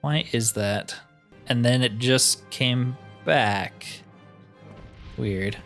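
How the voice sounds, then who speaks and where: A man's voice: mid-range voice, drawn-out words, fairly bright tone, soft background music. A man giving casual, wry commentary as he plays through a video game, often with dry humor. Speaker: gaming video host.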